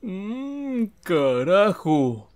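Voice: medium pitch, big pitch swings, drawn-out words. A performer with a Latin American accent voicing a big, dim-witted teenage bully in a cartoon dub. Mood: pondering. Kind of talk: animated fan dub acting.

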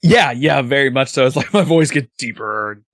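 A man's voice: mid-range voice, expressive, moderate pace, dry recording, studio quality. A man playing in a tabletop game, describing his character in a rambling, hesitant way with easy laughs. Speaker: player. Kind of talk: casual tabletop roleplay chat.

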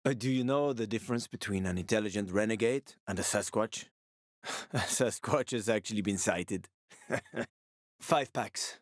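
A man's voice: lowish voice, moderate pace, no room sound, studio quality, natural voice. A man playing a streetwise, wisecracking middle-aged survivor and smuggler in a video game, talking in a sly, casual, teasing way. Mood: sly, joking. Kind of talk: video game voice acting, conversational.

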